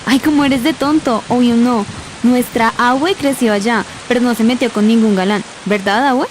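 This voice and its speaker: Curious, blunt young granddaughter acted by a woman, higher voice, youth slang, radio drama with clear rain noise. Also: fairly even intonation, normal speed, close to an everyday voice, low-quality recording. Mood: annoyed, scornful. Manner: slangy youth talk with English bits. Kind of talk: amateur radio drama acting.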